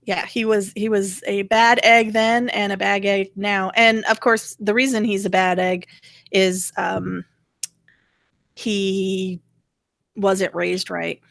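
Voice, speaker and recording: A woman hosting a casual political gossip show with a dry, sardonic wit. Her voice sits at a middle pitch and is recorded close and dry, with very little room sound. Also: little pitch movement, takes it slowly, gravelly, fairly bright tone, dry recording. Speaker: show co-host.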